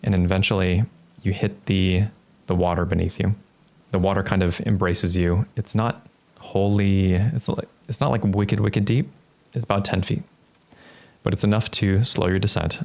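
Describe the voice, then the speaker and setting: A man narrating a tabletop roleplaying game as game master, improvising scenes in a halting, thoughtful way. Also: low-pitched, fairly even intonation, vocal fry, a little mellow.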